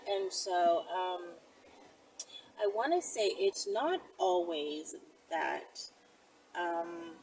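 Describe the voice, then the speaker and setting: Female self-defense vlogger, lowish voice, conversational and direct, recorded away from the mic. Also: sing-song, very slow, pauses often, darkish tone. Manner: conversational, addressing viewers directly. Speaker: self-defense video presenter. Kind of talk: casual instructional talk to camera. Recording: distant mic, faint background noise.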